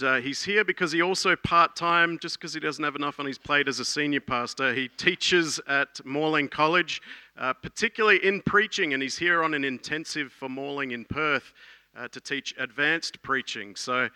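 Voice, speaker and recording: Crisp bright man introducing a guest. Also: fairly high pitch, no echo. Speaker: church leader introducing the speaker. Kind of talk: welcome introduction.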